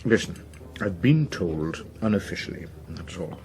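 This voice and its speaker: An actor playing an anxious, irritable senior politician in a radio drama, with a British accent and a blunt, grumbling manner. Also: male voice, low voice, lively intonation, unhurried, darkish tone, a little hiss or hum. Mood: grave, uneasy.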